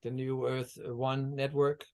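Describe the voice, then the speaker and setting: A man's voice: medium pitch, completely flat delivery, measured pace, a little mellow. A man from Denmark, a guest on a video-call interview, talking about energy healing in a meandering way with many fillers and restarts. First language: Danish.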